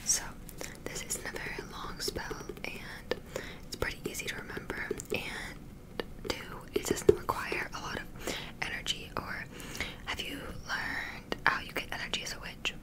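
A young woman whispering an ASMR roleplay as a witch teacher, gently encouraging and instructional, close to the microphone. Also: unhurried, rough recording. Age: young adult. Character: friendly witch teaching spells and potions to a beginner.

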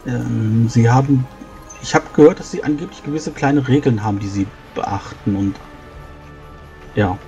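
A man improvising in-character talk as a player in a tabletop game, halting and thinking aloud. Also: medium pitch, mellow, faint music behind. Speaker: tabletop role-playing player.

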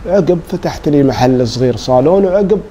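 A man's voice: fairly high pitch, expressive, a little fast, mellow, a little hiss or hum. A man with an Emirati Gulf Arabic accent answering casually as an interview guest, plain-spoken and repeating his phrases. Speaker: salon owner, interview guest.

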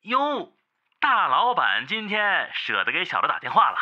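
A man's voice: high-pitched, wildly swooping pitch, normal speed, pushed and bright, heavily acted. A man playing a teasing, mocking friend over a phone filter in an audio drama. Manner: mocking, laughing. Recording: dull band-limited phone line.

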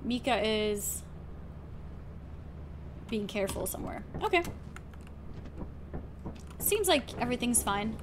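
A woman's voice: a little high, expressive, slow, pauses often. A young adult woman chatting casually while streaming a game. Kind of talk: casual gaming chat. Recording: clear background noise, keyboard typing.

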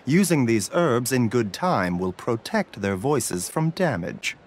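A man voicing a gentle, courteous male herbal doctor in a video game, speaking in polite, measured, formal phrases. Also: mid-range voice, big pitch swings, a little hiss or hum. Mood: calm, courteous. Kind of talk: scripted game dialogue. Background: music.

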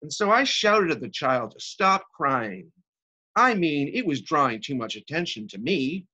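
A man's voice: a little high, very animated, measured pace, sharp tone, lo-fi sound, voice pushed up, full character voice. A man acting a self-pitying, exasperated woman in her thirties in a rambling, emphatic comic stage monologue. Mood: indignant, frantic. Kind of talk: theatrical comic monologue reading.

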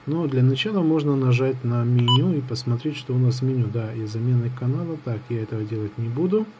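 A man's voice: medium pitch, quick pace, highs cut off. Man reviewing a gadget, noisy home recording. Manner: hesitant, improvised, thinking aloud. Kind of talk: casual hands-on product review. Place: indoors at a table in an apartment. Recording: clear background noise on home recording.

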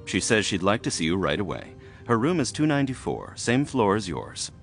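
An actor playing a polite, brisk, obliging hotel desk clerk in a pulp thriller audio drama. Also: male voice, fairly low pitch, wildly swooping pitch, vocal fry, soft background music, full character voice.

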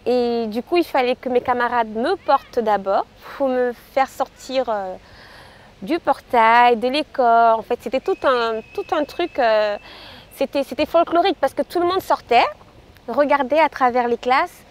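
A woman's voice: fairly high pitch, normal speed. A young adult woman from Cameroon, giving a personal testimony outdoors in a park, with a hesitant way of talking and many fillers. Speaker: patient interviewee.

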